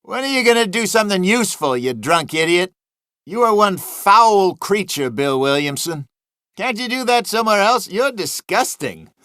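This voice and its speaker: A high-pitched, chiding western outlaw. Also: male voice, lively intonation, normal speed, bright, forceful tone, no room sound, studio quality. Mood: sarcastic, scornful. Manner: sarcastic, chiding. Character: scornful, exasperated male Old West gang member. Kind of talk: video game character barks.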